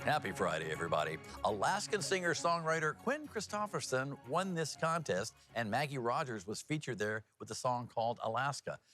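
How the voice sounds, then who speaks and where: A man's voice: mid-range voice, big pitch swings, a little fast, hardly any pauses, music in the background. A man anchoring and narrating upbeat local TV news features in a folksy, playful way, fond of puns.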